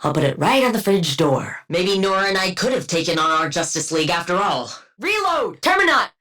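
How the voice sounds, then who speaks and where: A woman's voice: fairly low pitch, very animated, fairly quick, bright, forceful tone, full character voice. An actress voicing a haughty, clinical female supervillain scientist for a video game, with dry, pompous wit and precise diction. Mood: urgent, commanding. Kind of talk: scripted game character voice lines.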